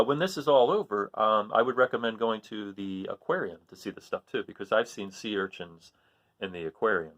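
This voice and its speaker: A man's voice: fairly low pitch, fairly bright tone. A man reading a children's book aloud expressively, with informal asides spoken directly to young listeners. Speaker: storybook reader.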